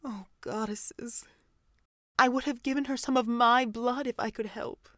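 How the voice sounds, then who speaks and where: A woman playing a calm, motherly pony doctor in an audio drama, gentle and deliberate. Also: a little high, no echo, studio quality. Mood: concerned, sad.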